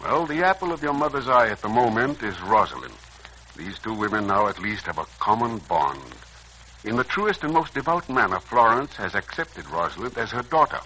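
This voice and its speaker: An actor playing a kindly older uncle in a 1940s radio serial, coaxing and persuasive in a theatrical, earnest way, with a raspy voice. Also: male voice, on the low side, animated, normal speed, bright, forceful tone, slight background noise, rough recording. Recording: old 1940s radio recording.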